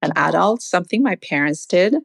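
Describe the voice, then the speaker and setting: A woman's voice: lowish voice, animated, brisk, gravelly, dry recording. A young adult woman from Sweden, a podcast guest, telling reflective stories about her family in a casual conversation. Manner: reflective, warm storytelling with many "like" fillers.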